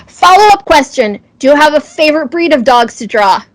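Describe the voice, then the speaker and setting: Woman, high-pitched voice, interview host, informal, friendly, encouraging and appreciative. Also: lively intonation, brisk. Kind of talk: casual friendly interview questions.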